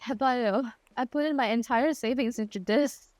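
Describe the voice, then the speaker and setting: A woman's voice: fairly high pitch, moderate pace, darkish tone, no echo, faint music behind. A young woman from Singapore with a mixed, partly Singaporean accent, rambling casually as a streamer while she plays, often laughing and pleading playfully. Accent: mixed, partly Singaporean English. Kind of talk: casual livestream chat while gaming.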